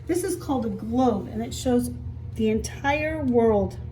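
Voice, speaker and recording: Female story time teacher, higher pitch, expressive read-aloud with questions for little kids; roomy, echoing sound. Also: unhurried. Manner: expressive, explains and asks simple questions for young children. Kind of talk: read-aloud picture book storytelling with asides to children. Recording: echoey room, distant mic, faint hiss.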